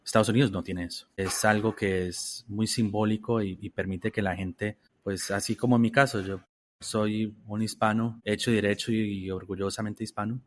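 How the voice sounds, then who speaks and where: Costa rican guy, low voice, thoughtful interview answers, restarts a lot. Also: fairly even intonation. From Costa Rica. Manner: measured, reflective, frequent restarts. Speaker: interview guest.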